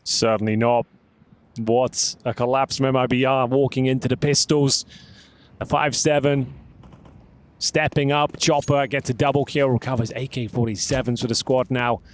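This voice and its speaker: A man's voice: medium pitch, little pitch movement, moderate pace. Dry-witted male esports analyst. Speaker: esports commentator.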